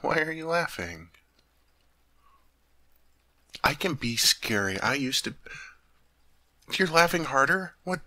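A man's voice: medium pitch, sharp tone, dry recording. A man playing a sweet, naive teddy bear turned human in an ASMR roleplay, chatting earnestly to the listener as he puzzles over human things. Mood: playfully indignant.

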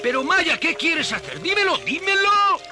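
A man voicing an excitable, grumbling but helpful grasshopper in a Spanish-dubbed children's cartoon, with exclamatory, theatrical delivery. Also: very high-pitched, normal speed. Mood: exasperated. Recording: loud music behind the voice.

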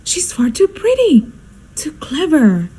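Woman as a haughty, animated society hostess. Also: sits high, slow pace, full character voice, low-quality recording. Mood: haughty, fussy. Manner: haughty, theatrical, scolding. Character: snobbish, fussy, domineering society hostess mother. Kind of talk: stage-like radio drama acting.

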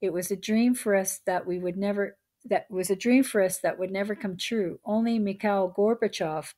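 A woman's voice: medium pitch, normal speed, a little mellow. Woman reading her nonfiction aloud. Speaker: author reading her own book.

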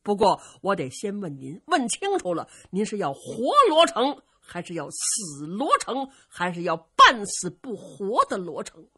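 Storytelling role: hot-headed general, played by a woman, forceful, defiant, emphatic, with wide swings in pitch. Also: wildly swooping pitch, a little slow, few pauses, husky, full character voice. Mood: eager, threatening. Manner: loud, emphatic, challenging. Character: fierce, hot-tempered, defiant male warrior general.

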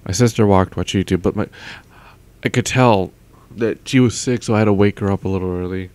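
A man's voice: fairly low pitch, brisk, darkish tone. A young man rambling casually into a close mic with a pop filter at home, full of fillers and trailing thoughts. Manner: meandering, lots of fillers and trailing off. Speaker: audio vlogger. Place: home, indoors.

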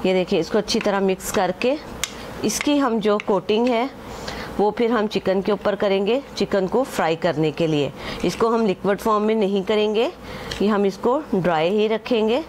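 A woman talks through a recipe at a mid pitch. She speaks in a chatty, homely way and drops English measurements into her speech. A faint hum of room noise sits behind her. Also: normal speed. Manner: chatty, repeats "acha ji" and "ye dekhen", mixes English measures into Hindi-Urdu. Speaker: home cooking channel host. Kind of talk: casual step-by-step cooking instruction.

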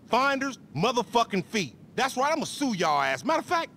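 A man's voice: very high-pitched, sing-song, fairly quick, gravelly, pushed and bright, a little hiss or hum, heavily acted. A man acting a brash, aggrieved small-time hustler in a video game, boastful and profane, with an American accent. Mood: indignant. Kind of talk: video game cutscene dialogue, slangy.

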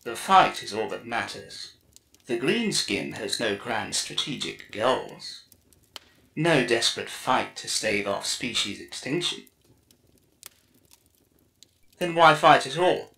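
A man plays a grave, cryptic alien warrior seeress in an audiobook. The voice is creaky and sharp, processed with effects, and speaks in solemn, portentous pronouncements. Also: lowish voice, expressive, a little slow, full character voice, poor audio. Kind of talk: dramatic audiobook character acting.

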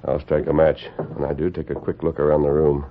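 Very deep-voiced masked cowboy hero. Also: male voice, flat delivery. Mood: quiet, commanding. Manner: measured, authoritative, articulate. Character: masked cowboy hero, calm, commanding, sharp-minded adult man. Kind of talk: vintage radio western acting. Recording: muffled, faintly noisy old radio recording.

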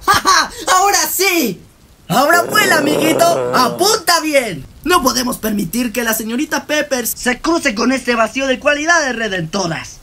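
A man's voice: very high voice, lively intonation, moderate pace, heavy background noise. A man voicing a manic young gangster cat in a cartoon, florid, theatrical and gleefully wordy. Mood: manic glee. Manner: theatrical, florid, mock-philosophical. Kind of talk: animated fan comic dub. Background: rain.

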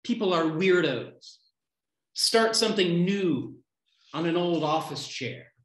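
A man in his fifties reading his poems aloud on a video call from home, declaiming short lines with deliberate pauses. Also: on the high side, expressive, a bit bright. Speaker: poet reading own poems. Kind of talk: live poetry reading. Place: small room at home. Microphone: computer mic on a video call. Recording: roomy, echoey home video-call sound.

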